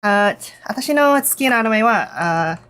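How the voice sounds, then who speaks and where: A Canadian woman streaming in a nervous, casual way, with lots of fillers, switching between English and halting Japanese. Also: fairly high pitch, expressive, a bit bright, clean tone. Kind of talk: casual live stream chat.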